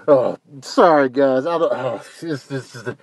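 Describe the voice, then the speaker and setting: A man's voice: medium pitch, normal speed, no room sound, natural voice. A man voicing a flustered, easily exasperated bear mascot giving stammering sales patter in a comic voice-over. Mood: flustered pitching.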